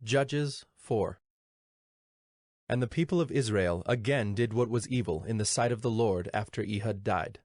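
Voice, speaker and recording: A man narrating scripture in a solemn, measured storytelling manner. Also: fairly low pitch, a little mellow, no echo, clean studio sound. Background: music.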